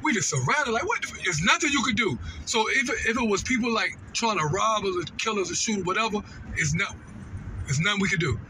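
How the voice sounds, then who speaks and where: A man's voice: high voice, lively intonation, fairly quick. A middle-aged man, telling a personal story casually and animatedly, with slangy asides and repeated phrases. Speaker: storytelling vlogger. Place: inside a moving car. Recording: vehicle road noise.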